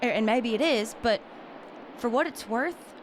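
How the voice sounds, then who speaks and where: An actress playing a protective, plain-spoken rodeo rider's sister with a stern, earnest delivery. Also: female voice, a little high, animated, a little slow, a little hiss or hum. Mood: stern, pleading. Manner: blunt, earnest. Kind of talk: radio play acting.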